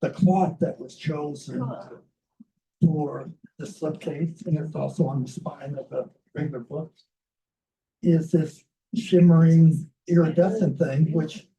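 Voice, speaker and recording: Man with a high, very mellow voice, relaxed explainer at a book event. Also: lo-fi sound.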